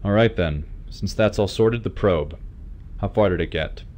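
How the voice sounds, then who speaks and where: Man as calm, deep-voiced starship captain. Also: moderate pace, lightly acted. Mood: decisive, serious. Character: calm, weary, decisive male starship captain. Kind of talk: science fiction fan audio drama acting. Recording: background noise, ship ambience.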